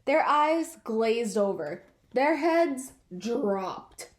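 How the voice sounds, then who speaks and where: A woman reading a picture book aloud to children in an expressive way, drawing out words for effect. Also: fairly high pitch, very slow. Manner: animated, draws out words for effect. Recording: roomy, echoing sound.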